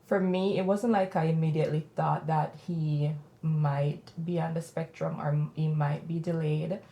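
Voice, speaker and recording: A woman with a Jamaican accent and a low, grounded voice speaks candidly as a podcast host. Her talk is reflective and personal. The room around her rings with noticeable echo. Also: steady tone, moderate pace, mellow, lo-fi sound.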